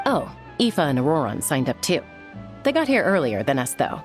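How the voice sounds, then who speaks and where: Friendly game companion, easygoing, slightly low, lively intonation, faint music. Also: female voice, moderate pace. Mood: relaxed, friendly. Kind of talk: animated game voice acting.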